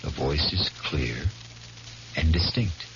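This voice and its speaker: A man narrating a scripted radio mystery as its host, deliberate, ominous and dramatic. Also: very deep voice, expressive, gravelly, very noisy. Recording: dull lo-fi 1970s radio recording.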